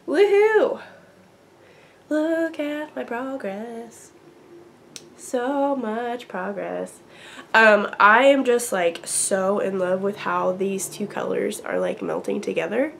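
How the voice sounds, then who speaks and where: A woman's voice: medium pitch, creaky. A young woman hosting a knitting podcast, chatting casually with lots of ums and likes, still congested from a cold. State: congested, getting over a cold. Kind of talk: casual chat to camera.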